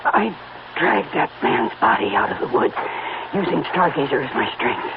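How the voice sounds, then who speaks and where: Noisy old radio play, actor doing anguished horse lover confessing, halting and emotional, pitch swinging all over. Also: big pitch swings, vocal fry, muffled recording. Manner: halting, emotional delivery with repeated phrases. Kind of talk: dramatic first-person confession in a radio mystery play.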